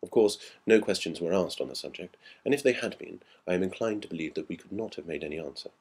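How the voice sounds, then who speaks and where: A man reading a classic ghost story aloud in a measured, dramatic storytelling manner. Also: low-pitched, expressive, gravelly, a little mellow, slightly rough. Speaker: audiobook narrator.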